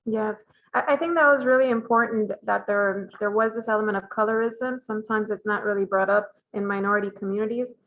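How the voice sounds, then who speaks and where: A woman interviewer with a mid-pitched voice poses carefully framed questions at a steady, measured pace. Her sound is dull and lo-fi, with the top end missing. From of Cuban descent. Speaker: festival founder hosting a Q&A.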